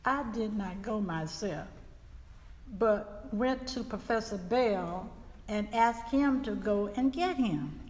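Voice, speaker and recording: A woman's voice: medium pitch, lively intonation, a little mellow. A woman playing a grieving 19th-century widow, recounting events solemnly in a dramatic reading. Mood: somber, measured. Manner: slow, deliberate, solemn storytelling. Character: grieving widow recounting her husband's murder, 1875 Mississippi. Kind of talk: dramatic reading of historical testimony. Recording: reverberant hall.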